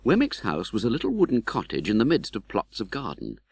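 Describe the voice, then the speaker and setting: A man reading a classic novel as an audiobook, measured and precise. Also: lowish voice, huge pitch swings, vocal fry, slightly rough, no echo. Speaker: audiobook narrator. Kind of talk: scripted literary narration of a classic novel.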